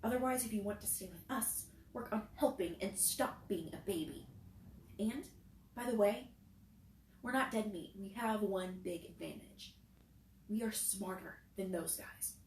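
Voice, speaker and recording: A woman voicing a sharp-tongued teenage girl who scolds the boys and takes charge. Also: medium pitch, a little slow, far from the mic, natural voice. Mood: mocking. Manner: scolding, commanding. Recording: roomy echo, faint noise.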